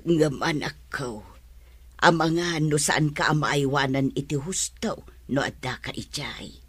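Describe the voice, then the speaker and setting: An actress playing a worried, protective middle-aged mother who gently cautions, in a radio drama. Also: sits low, unhurried, slightly rough, dry recording. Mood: worried, cautioning.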